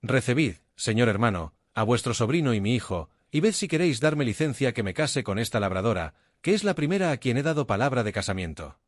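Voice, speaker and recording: A courtly, self-assured young duke speaks in measured, formal phrases, his pitch rising and falling freely, as a male narrator reads him aloud. The voice is dry and close, with no room around it. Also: sing-song, dry recording. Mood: cheerful.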